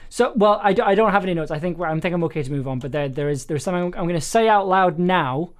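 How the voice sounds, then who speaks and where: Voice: medium pitch, expressive, fast. Chatty, giggly producer on a stream. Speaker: audio drama producer directing a voice actor. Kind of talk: casual livestream chat and recording direction.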